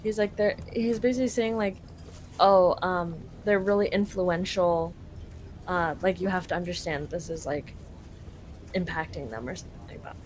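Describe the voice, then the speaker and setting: A woman's voice: fairly low pitch, normal speed, slight background noise. A woman from Canada casually translating a game live, paraphrasing loosely with lots of fillers. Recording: game music behind.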